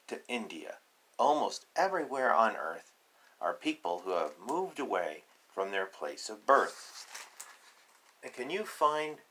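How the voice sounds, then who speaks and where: A man reading a picture book aloud to children, deliberate and emphatic in short phrases, with chatty, playful asides. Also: medium pitch, creaky, fairly bright tone.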